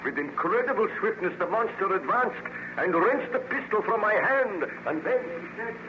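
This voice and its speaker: Haunted nobleman, formal and intense, slightly high pitch, vintage dull-sounding radio drama. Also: lively intonation, normal speed. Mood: grave recounting. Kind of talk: 1930s-40s radio serial acting. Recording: noisy muffled old radio recording.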